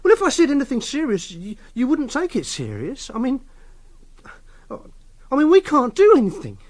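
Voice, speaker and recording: An awkward, jumpy-pitched young Englishman. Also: wildly swooping pitch, full character voice. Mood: defensive. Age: young adult. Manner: halting, evasive, defensive. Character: socially awkward, stubborn, brooding young man, obsessive captor. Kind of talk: radio drama dialogue.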